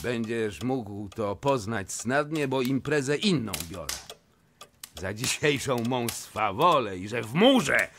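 An actor playing a blustering, hot-tempered old nobleman in a theatrical verse comedy, muttering and then exclaiming loudly, with a gravelly voice. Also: medium pitch, lively intonation, takes it slowly, a little hiss or hum. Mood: stubborn, commanding. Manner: exclamatory, swinging from muttering to shouting.

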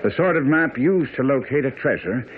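Guy playing a sharp confident detective in an old radio play, really lively swings, thin phone-like sound. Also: medium pitch, close to an everyday voice. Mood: assured. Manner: brisk, incisive, lecturing. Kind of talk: radio drama acting. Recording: phone-like, faintly noisy old radio broadcast.

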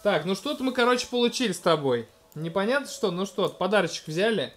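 A man in his thirties casually commenting on a video game in a gentle, coaxing way, talking to a small child beside him at home. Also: sits high, lively intonation, quick pace, soft background music. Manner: gentle, coaxing, talks to the child, narrates what is on screen. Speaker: let's-play host playing with his toddler.

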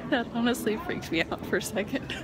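A woman's voice: fairly high pitch, brisk. A young woman from Florida vlogging casually on a phone held at arm's length, chatty and rambling, sometimes dropping to a whisper. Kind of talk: casual travel vlog. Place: airports, plane and car, in and out of doors. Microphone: phone camera at arm's length. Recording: loud background noise on phone mic. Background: loud travel noise, vehicles.

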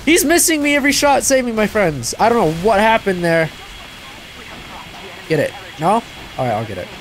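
A young man giving casual gameplay commentary, excitable, yelping and laughing at the action, his voice a little stuffed up. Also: high voice, normal speed, soft background music, rough recording. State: a bit stuffed up from crying. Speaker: gaming channel host.

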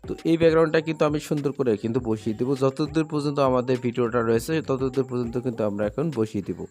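A man's voice: medium pitch, rapid-fire, darkish tone. A man narrating a step-by-step screen tutorial as the host, with repetitive, instructional phrasing. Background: background music.

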